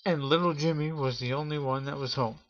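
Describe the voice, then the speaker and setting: A man hosting a Halloween story show, telling a spooky tale in a theatrical showman's manner with drawn-out pauses. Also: a little high, fairly even intonation, fairly bright tone. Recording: dull lo-fi audio with clear noise.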